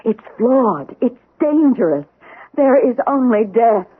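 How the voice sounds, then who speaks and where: A woman's voice: fairly high pitch, very animated, takes it slowly, slightly rough, heavily acted. An actress playing a desperate woman scientist, urgent and insistent, in old-time radio drama style. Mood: desperate warning. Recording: muffled tinny telephone-like radio recording.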